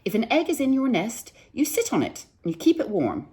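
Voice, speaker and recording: A woman's voice: on the high side, huge pitch swings, fairly quick, mellow, slightly rough, pitched above the performer's own voice, exaggerated voice. A woman voicing a cheerful, doting father bird in a read-aloud picture book, firm and reassuring. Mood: insistent.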